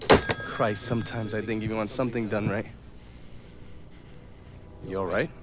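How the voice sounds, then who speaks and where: An actor playing a ruthless, foul-mouthed fixer in a video game, blunt and emphatic. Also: male voice, on the low side, steady tone, measured pace, over loud music, lightly acted. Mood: irritated, then concerned, brisk. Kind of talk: video game cutscene acting.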